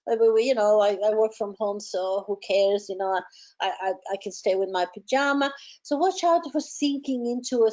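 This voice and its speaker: Halting woman coach, slightly high voice. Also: a little mellow, dull audio. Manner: hesitant, many repeated words and fillers. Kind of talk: advisory talk on coping with stress.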